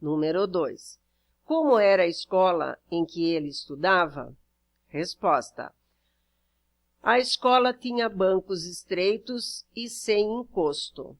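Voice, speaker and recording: Brazilian lady teacher going over answers real slow, kinda low voice, spells everything out. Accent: Brazilian Portuguese. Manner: slow, deliberate, explains each answer step by step. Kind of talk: reading out and correcting a workbook exercise.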